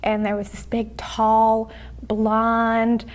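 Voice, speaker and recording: A Mexican-born woman with an American accent and Spanish as her first language, telling her story in a halting, reflective way as an interview guest. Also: a little high, completely flat delivery. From born in Mexico City, raised in the United States. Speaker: interview guest, TV show host.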